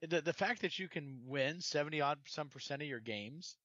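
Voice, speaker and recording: A man's voice: a little high, animated, moderate pace, bright, forceful tone, no echo, dull audio. Rambling, exasperated man on a podcast. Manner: rambling, exasperated, repeats words. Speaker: podcast co-host. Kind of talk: casual sports chat.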